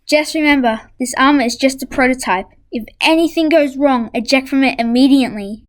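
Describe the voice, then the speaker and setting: A woman voicing an anxious, protective helper in an amateur animated dub, urgent and worried. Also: sits high, brisk, lightly acted. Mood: urgent warning.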